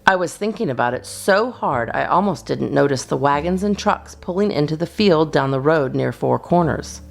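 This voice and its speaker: Animated woman reading a children's storybook. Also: deep voice, normal speed, gravelly. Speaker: storytime host and reader. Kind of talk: expressive children's storybook narration.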